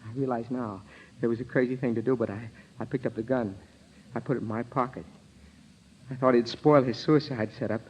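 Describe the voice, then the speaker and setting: An actor playing an overwrought, stammering ex-convict bent on revenge in an old-time radio crime drama. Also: male voice, medium pitch, steady tone, brisk, a little mellow, natural voice. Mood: desperate, resolved. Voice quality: nervous, broken laughter. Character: hysterical wronged male ex-convict, desperate and vengeful. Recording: dull vintage radio recording.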